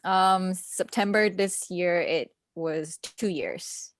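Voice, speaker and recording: A woman's voice: on the low side, slow. Chatty young woman on a video call. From Malaysia. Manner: chatty, many fillers and little laughs. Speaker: assistant language teacher, session participant. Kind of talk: casual online conference discussion.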